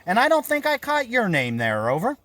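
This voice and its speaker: A man's voice: extremely high pitch, huge pitch swings, fairly quick, sharp tone, slight background noise. An American man in his fifties chatting casually as an amateur radio operator, with a friendly, folksy, talkative manner. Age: 50s. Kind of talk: casual ham radio conversation. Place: outdoors on a mountain trail.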